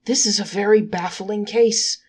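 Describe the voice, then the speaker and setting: Woman voicing heavenly judge, folktale, solemn, formal, declaratory, raspy. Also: medium pitch, fairly even intonation, unhurried, darkish tone, pitched above the performer's own voice. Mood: emphatic. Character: solemn heavenly judge pronouncing official decrees.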